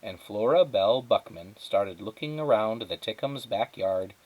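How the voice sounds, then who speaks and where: Animated man narrating a storybook. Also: on the low side, moderate pace, low-quality recording. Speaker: teacher reading aloud to pupils.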